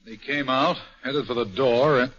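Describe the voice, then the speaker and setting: Plain-spoken police inspector, muffled. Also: male voice, mid-range voice, moderate pace, a bit bright, slightly rough. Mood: grim, puzzled. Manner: matter-of-fact, clipped, colloquial. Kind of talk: 1940s radio mystery acting. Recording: muffled 1940s radio broadcast recording.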